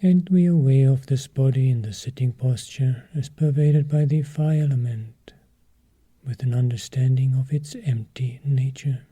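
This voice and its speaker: A man teaching a guided meditation in a soft, breathy, husky voice, calmly giving short, measured phrases with long pauses between them. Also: mid-range voice.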